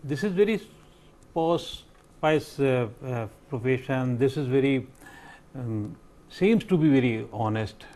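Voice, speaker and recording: A man with an Indian accent giving his views as a panel guest, halting and searching for words. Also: medium pitch, lively intonation, a little mellow. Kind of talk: panel discussion commentary.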